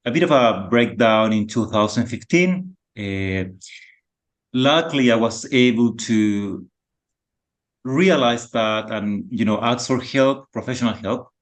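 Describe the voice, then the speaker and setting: A man in his thirties from Chile with a Chilean Spanish accent, speaking as a podcast guest in a halting, soft-spoken way with many fillers. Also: mid-range voice. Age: 30s. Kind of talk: casual reflective conversation.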